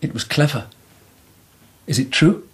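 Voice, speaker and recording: A man's voice: on the high side, measured pace, natural voice. A man playing a playful, musing emperor. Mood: thoughtful. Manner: leisurely, musing, teasing. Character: Japanese emperor, playful, musing, fond of sake and mysteries. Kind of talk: radio drama acting.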